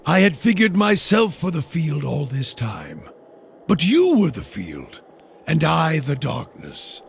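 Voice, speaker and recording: Grim fantasy game zealot: slow gravelly preaching that swings widely in pitch. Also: male voice, huge pitch swings, a little hiss or hum, heavily acted. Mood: bitter, solemn. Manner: slow, theatrical, preacher-like pronouncements. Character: grim, zealous, embittered wandering priest of fire. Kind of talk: video game character acting.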